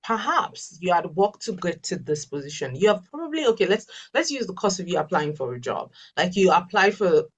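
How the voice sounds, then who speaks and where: A woman's voice: on the low side, big pitch swings, brisk, hardly any pauses, distant mic. A Yoruba-speaking woman, a life coach answering webinar questions earnestly and emphatically, often repeating her key phrases. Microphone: video call.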